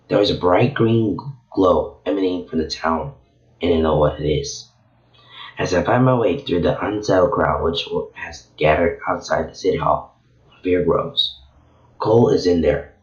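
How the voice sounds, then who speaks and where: Hoarse low-voiced man. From Venezuela. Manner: casual, halting reading with frequent slips. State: hoarse, losing his voice, sore throat. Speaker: channel host reading a story. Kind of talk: reading a fan novel chapter aloud. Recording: echoey room, dull lo-fi sound.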